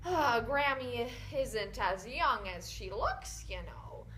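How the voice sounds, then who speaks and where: A woman's voice: a little high, expressive, slow pace, a bit bright, slight background noise. A woman playing a doting, forgetful elderly Irish grandmother in an amateur skit, rambling with many hesitations.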